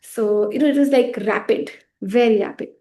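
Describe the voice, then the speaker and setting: A woman who teaches pranic healing, answering interview questions in a casual, lively way, laughing often and letting her phrases fade softly at the end. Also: a little high, normal speed.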